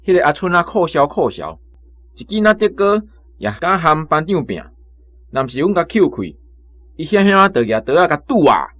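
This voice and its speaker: A man with a Taiwanese accent playing an excitable, gossiping young soldier in a radio drama. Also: a little high, normal speed, no room sound. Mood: excited.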